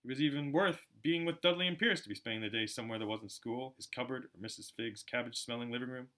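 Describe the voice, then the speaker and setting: A man narrating a storybook aloud in an expressive, dramatic way, as an amateur audiobook reader. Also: mid-range voice, quick pace. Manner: animated, dramatic.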